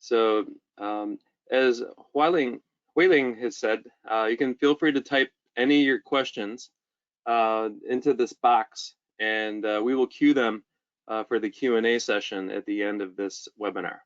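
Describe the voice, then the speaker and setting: A man giving an informal webinar lecture, speaking haltingly and often repeating words. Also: mid-range voice. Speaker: webinar presenter, journal editor. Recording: dull, band-limited webinar audio.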